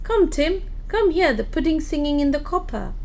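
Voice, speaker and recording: A woman's voice: high-pitched, big pitch swings, moderate pace. A woman playing an eager, affectionate working daughter in an audio play. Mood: cheerful.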